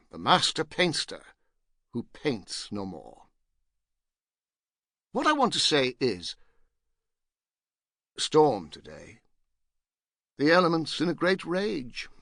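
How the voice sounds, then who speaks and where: A man's voice: mid-range voice, vocal fry, a bit bright, dry recording, clean studio sound, full character voice. A man narrating a literary audiobook as a rueful, self-mocking, pompous ex-painter nearing fifty, fussy and digressive in manner. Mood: self-mocking, musing.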